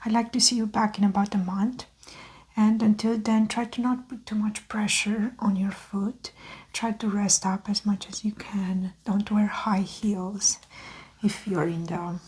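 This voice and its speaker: A woman speaking in a soft, breathy, often near-whispered voice, playing a doctor in a gentle ASMR exam roleplay. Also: medium pitch, steady tone, poor audio. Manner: gentle, unhurried clinical advice-giving.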